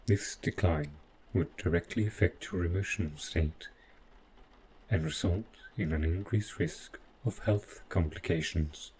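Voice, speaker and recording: A man playing an overprotective robot butler gone rogue, speaking with formal, measured politeness in a scripted audio roleplay, calm and possessive. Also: low-pitched, slight background noise, rough recording. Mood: calm, explanatory.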